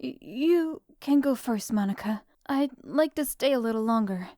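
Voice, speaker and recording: A woman's voice: fairly high pitch, animated, moderate pace, warm tone, dry recording, studio quality, close to an everyday voice. A woman voicing a shy, anxious teenage schoolgirl in an anime visual novel fan dub, hesitant, stammering and polite. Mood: nervous, hesitant.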